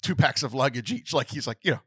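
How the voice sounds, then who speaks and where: A young man co-hosting a podcast, telling a story in a lively, animated way. He laughs often and mimics other people's voices. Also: mid-range voice, fast talker, husky, dry recording, studio quality. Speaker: podcast co-host.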